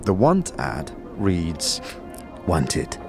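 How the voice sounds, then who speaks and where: A man reading scripted audio drama narration in a measured, descriptive storytelling way. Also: fairly low pitch, expressive, soft background music.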